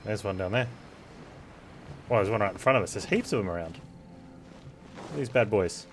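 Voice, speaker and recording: A man's voice: lowish voice, moderate pace. A man giving casual gameplay commentary as a streamer, with wry, joking asides. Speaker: game streamer. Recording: loud game music behind. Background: game music and sound effects.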